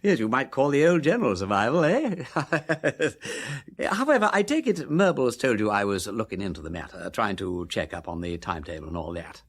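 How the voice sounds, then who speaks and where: A breezy aristocratic sleuth with an upper-class English accent. The voice is low and husky, swinging wildly up and down in pitch. He drawls affectedly and tacks little questions onto his remarks, as in a radio play. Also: big pitch swings, brisk, fairly bright tone, heavily acted. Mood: breezy, affable. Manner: affected silly-ass drawl with tag questions. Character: aristocratic gentleman sleuth, breezy, chatty, shrewd underneath. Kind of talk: radio drama acting.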